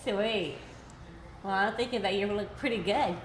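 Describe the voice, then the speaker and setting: A woman's voice: mid-range voice, normal speed, reverberant, noisy background. A woman in her thirties interviewing actors, asking simple questions with many "cool"s and "mm-hmm"s. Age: 30s.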